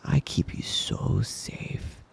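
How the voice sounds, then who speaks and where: A man playing a possessive, doting young wolf-boy lover in intimate ASMR roleplay, coaxing and teasing in a breathy, husky voice that often drops to a whisper. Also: close to an everyday voice. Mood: possessive, tender. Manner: slow, coaxing, teasing endearments.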